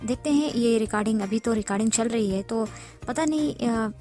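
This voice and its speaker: Woman, slightly high pitch, informal tutorial, step-by-step explanations, repeated instructions, light background music. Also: normal speed, mellow. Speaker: tutorial presenter.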